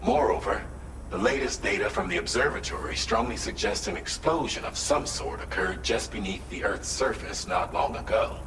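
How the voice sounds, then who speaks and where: This is a male actor playing a formal, earnest mission dispatcher in a video game. His voice is fairly low and measured. It sounds distant from the mic, with clear noise behind it. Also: close to an everyday voice. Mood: grave, urgent. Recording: echoey, distant, noisy game audio.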